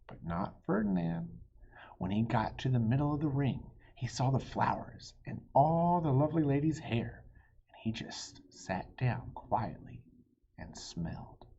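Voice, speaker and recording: A man reading a children's story aloud in an expressive, dramatic way, addressing young listeners, with a breathy voice that often drops toward a hush. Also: mid-range voice, a little slow, slight background noise. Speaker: storybook reader. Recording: dull, muffled lo-fi audio.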